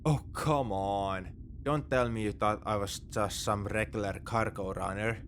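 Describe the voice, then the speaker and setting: A man's voice: fairly low pitch, fairly even intonation, measured pace, a bit bright, a little hiss or hum. A man playing a wry, morally grey space pirate in a sci-fi ASMR roleplay, with a conversational, nonchalant, dryly teasing delivery. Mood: teasing, reassuring. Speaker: audio roleplay performer.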